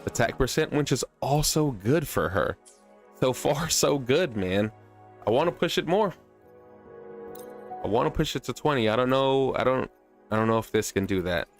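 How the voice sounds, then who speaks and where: A man's voice: mid-range voice, expressive, moderate pace, long pauses. A man streaming a video game with casual, chatty commentary, playful slang and a self-deprecating streak. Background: music.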